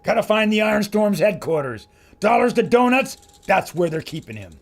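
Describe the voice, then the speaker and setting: A brash high-voiced showman. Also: very animated, normal speed, creaky, full character voice. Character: brash, excitable male filmmaker adventurer. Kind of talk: radio play acting.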